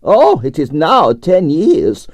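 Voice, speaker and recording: A man voicing a flamboyant aging Russian ballerina with a Russian accent and broken English, in a high, swooping, theatrical voice for an audiobook. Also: wildly swooping pitch, slow, no room sound, full character voice. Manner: broken English, grand declarations.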